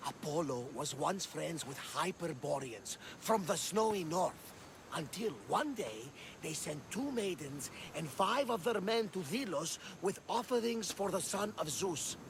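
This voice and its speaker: A man's voice: a little high, animated, a little slow, gravelly, slight background noise. A man playing a talkative, genial Greek ship captain who relishes telling tales, in a video game cutscene. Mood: enthusiastic storytelling.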